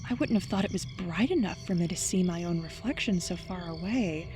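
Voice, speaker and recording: A woman's voice: fairly low pitch, lively intonation, fairly quick, music in the background, natural voice. A woman acting a skeptical, sarcastic young prankster in an audio drama, with a dry delivery. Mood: irritated, muttering. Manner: dry, sardonic.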